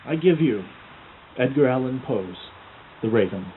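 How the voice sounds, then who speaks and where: A man giving a theatrical, melodramatic dramatic reading of a spooky tale, deliberate and full of drawn-out pauses, recorded outdoors. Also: medium pitch, animated. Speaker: amateur performer reading poetry aloud. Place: outdoors at an evening party. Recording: muffled phone-like outdoor recording, loud background noise.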